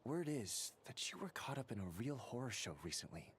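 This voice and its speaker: An actor playing an exasperated, frank official in a video game, speaking in a dramatic, emotional manner. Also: male voice, medium pitch, sing-song, moderate pace, a little hiss or hum, natural voice.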